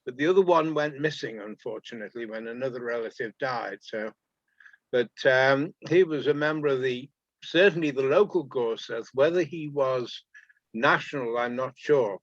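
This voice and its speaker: English-born guy chatting on a call, middle pitch, dull dry audio. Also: normal speed, a bit bright, no echo. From England. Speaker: panel participant. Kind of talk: casual recollection. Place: video call.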